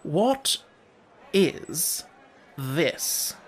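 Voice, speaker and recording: A man's voice: fairly high pitch, unhurried, a bit bright, a little hiss or hum. A man voicing a haughty, self-assured storybook unicorn who talks in a languid, commanding way. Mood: disdainful wonder. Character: haughty, carelessly confident unicorn.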